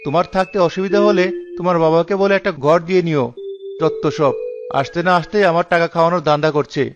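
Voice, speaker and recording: Casting: male actor as stingy young-married cartoon husband, high voice, blunt complaining delivery, muffled recording. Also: fairly even intonation, fast talker, natural voice. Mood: grumbling. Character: stingy, grumbling newlywed husband, quick to scold. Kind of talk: cartoon dialogue acting. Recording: dull lo-fi sound with music behind.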